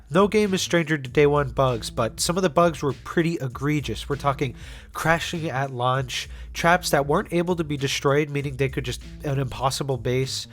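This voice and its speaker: A young man talks through a scripted gaming video essay, his voice a little congested after being sick. He speaks casually, stumbling into restarts and fillers now and then, at a mid pitch, with faint music under him. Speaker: gaming YouTuber.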